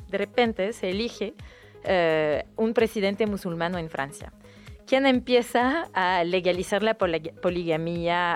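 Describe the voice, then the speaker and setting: A woman with a French accent, native French speaker, recommending a novel in a casual interview, often restarting her words. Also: mid-range voice. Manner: hesitant, frequent restarts and fillers. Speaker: guest book recommender.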